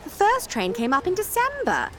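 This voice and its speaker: A woman's voice: extremely high pitch, huge pitch swings, moderate pace, heavily acted. A woman acting in an audio drama as a breezy, unflappable committee member, reassuring, matter-of-fact and wryly teasing.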